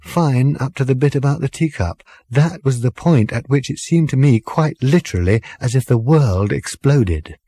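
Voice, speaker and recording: Earnest young woman for storybook dialogue, performed by a man. Higher, mellow, insistent and emphatic. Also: animated, normal speed, few pauses, husky, dry recording. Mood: anxious, intense. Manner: emphatic, urgent explaining.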